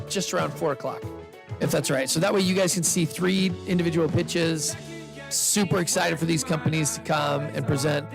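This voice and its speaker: A man hosting a live pitch event, speaking casually into a handheld microphone to an audience in an indoor room, chatty and upbeat with loose restarts. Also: creaky. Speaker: event host and investor.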